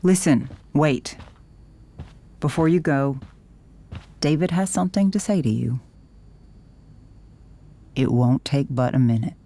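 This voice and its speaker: An actress voicing an anxious, gentle middle-aged mother in a game cutscene, softly pleading and patient. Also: extremely low pitch, slow pace, long pauses, exaggerated voice. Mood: worried, coaxing. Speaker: video game voice actor. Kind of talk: dramatic game cutscene acting.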